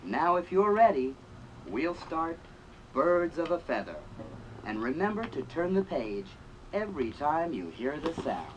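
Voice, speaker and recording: Man, children's read-along narrator, very slow, lilting, funky synth music behind. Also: fairly high pitch, big pitch swings, a little hiss or hum, low-quality recording. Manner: slow and clear for young children. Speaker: storybook narrator.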